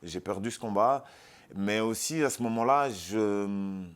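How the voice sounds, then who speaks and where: A man from Congo, a fighter and actor, telling his story with confident, animated energy in an interview. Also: lowish voice, a little slow, clean tone. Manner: confident, animated, self-assured storytelling with frequent repeats. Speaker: MMA fighter and actor, guest.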